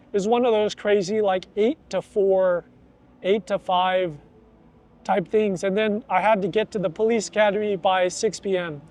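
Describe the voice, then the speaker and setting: Chatty middle-aged man with a high voice. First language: English. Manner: chatty, animated, acts out quoted voices. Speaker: vlogger, student of Thai. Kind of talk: casual vlog talk to camera. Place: outdoors near a festival.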